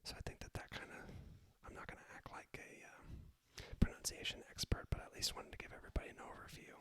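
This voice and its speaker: A man's voice: fast talker, a bit bright. A man speaks entirely in a whisper, in the manner of an ASMR video. He hosts an informal, self-deprecating language lesson as a native English speaker. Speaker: video host teaching Spanish basics.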